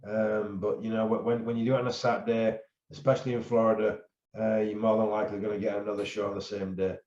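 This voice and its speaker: A man, a bodybuilding show promoter, chatting casually on a podcast in a loud voice and repeating his phrases. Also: lowish voice, level tone, fast. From England. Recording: roomy, dull sound.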